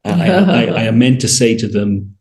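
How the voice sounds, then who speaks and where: A man's voice: on the low side, steady tone, moderate pace, a little mellow. A middle-aged man talking as an interview guest on a video call, wry and a little hesitant. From Ireland. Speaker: interview guest, winery owner. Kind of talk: casual anecdotal conversation. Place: indoor room, on a video call.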